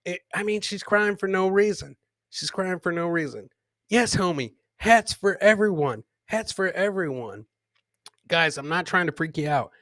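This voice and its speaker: Bright, higher-voiced man hosting comedy. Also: expressive, moderate pace, no echo, clean studio sound. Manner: jokey, informal, repeats phrases. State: several beers in. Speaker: host. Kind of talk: live comedy podcast, reacting to clips and chat.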